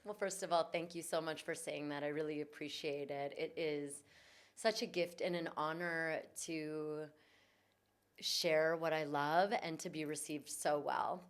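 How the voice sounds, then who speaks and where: Lowish woman's voice, yoga teacher telling her story, earnest and reflective. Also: fairly even intonation, a little slow. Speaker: guest, yoga teacher and vocal coach. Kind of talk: conversational interview. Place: in Bali.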